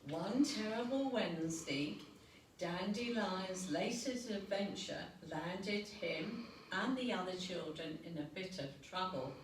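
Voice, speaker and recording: A woman reading a picture book aloud to young children as a storyteller, unhurried, expressive and gently dramatic. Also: lowish voice. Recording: roomy, noisy recording, distant mic.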